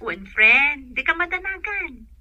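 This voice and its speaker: A caring, supportive young friend in an Ilocano radio drama. Her voice is extremely bright and somewhat high, reassuring, with an Ilocano accent. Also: big pitch swings, takes it slowly, no room sound, highs cut off, heavily acted. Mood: friendly, concerned. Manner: solicitous, reassuring.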